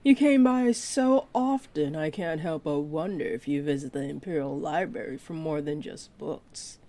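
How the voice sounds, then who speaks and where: A woman's voice: low-pitched, normal speed, warm tone. A woman voicing a flirty, possessive half-dragon librarian in a roleplay audio, speaking in a coy, playful drawl. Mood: coy teasing. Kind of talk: roleplay audio acting addressed to the listener.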